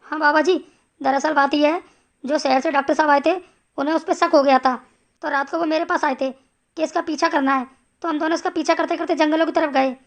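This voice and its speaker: A woman voicing a naive, nervous male villager, a timid sidekick in an animated horror story. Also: high voice, fairly quick. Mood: anxious reporting.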